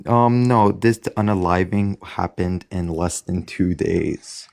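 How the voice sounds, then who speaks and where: Very deep gravelly man, insistent. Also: slow, darkish tone. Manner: insistent, repeats himself. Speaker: debate guest. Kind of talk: online debate argument.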